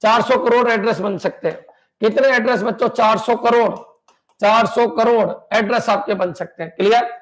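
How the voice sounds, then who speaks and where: A man with a strikingly high-pitched voice lectures exam students like a coaching-class teacher. He drills each point by repeating it and keeps checking in with his pupils. He pushes hard on what will come up in the exam. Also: normal speed. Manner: repetitive, drilling, quizzes students with "clear?" and "बच्चों"; emphatic, pushes exam tips and motivation. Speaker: computer science exam coach.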